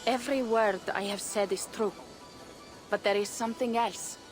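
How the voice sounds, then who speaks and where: A woman's voice: a little high, steady tone, moderate pace, soft background music, natural voice. A woman playing a bold, persuasive Norse warrior in a dramatic video game, speaking in formal, old-fashioned phrases. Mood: earnest, grave. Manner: declarative, archaic formal phrasing.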